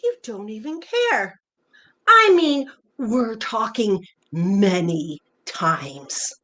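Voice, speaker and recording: A woman acting the part of a wounded but affectionate partner in a play reading, in a plain, measured manner. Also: mid-range voice, very animated, bright, forceful tone, heavily acted. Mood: hurt, reproachful. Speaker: actor in a staged reading. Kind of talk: naturalistic stage play reading. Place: remote virtual reading over video call.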